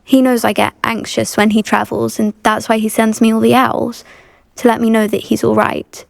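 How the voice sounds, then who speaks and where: A woman's voice: a little high, flat delivery, normal speed, creaky, no room sound. A woman playing an earnest, well-spoken, anxious teenage schoolgirl witch with a British accent in a video game. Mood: worried, earnest. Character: anxious, polite teenage schoolgirl witch devoted to her uncle. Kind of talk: video game dialogue acting.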